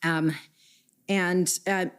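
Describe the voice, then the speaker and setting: A woman questioning a witness at a formal hearing, reading out document numbers and quoted messages in a measured way. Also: on the low side, flat delivery, clean tone. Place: hearing room.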